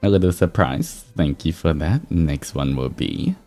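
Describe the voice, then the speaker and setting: A man with a low, mellow voice hosts a stream and reads stories aloud. He takes his time, and his pitch moves around in a lively, amused way. Music plays behind him. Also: measured pace. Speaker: livestream host reading fan fiction aloud. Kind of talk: expressive story reading mixed with casual chat.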